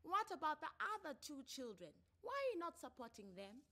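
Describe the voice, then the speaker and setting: Lady doing a court counselor lady in an audio story, very formal, high voice, swoops around a lot. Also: sing-song, bright, forceful tone, a little hiss or hum, heavily acted. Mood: probing, skeptical. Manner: deliberate, questioning, drawn-out phrasing. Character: female court counselor, measured, formal, officious. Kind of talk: dramatised short story reading.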